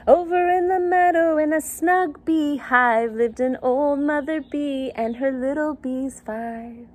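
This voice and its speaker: A woman's voice: high-pitched, slow pace, slight background noise. A woman reading a picture book to young children in a sing-song style, with simple child-directed phrasing and little questions. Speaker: children's circle time teacher and story reader.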